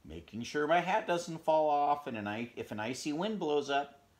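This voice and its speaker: A man voicing a small friendly hedgehog who stubbornly defends his hat, in a high, eager voice. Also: moderate pace, natural voice. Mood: earnest. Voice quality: high, excited and emphatic.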